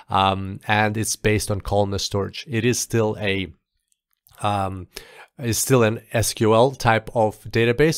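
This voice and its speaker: Male tutorial instructor, lowish pitch, informal step-by-step delivery, dry close recording. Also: no room sound. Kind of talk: explanatory screencast tutorial narration.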